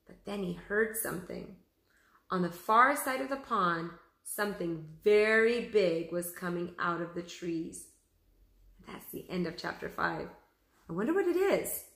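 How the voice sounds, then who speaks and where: A woman teacher reads a story to children in a bright, forward voice. Her pace is slow and deliberate, with expressive delivery, and the room around her sounds echoey. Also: on the low side. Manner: expressive, addressing young pupils. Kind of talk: children's story read-aloud.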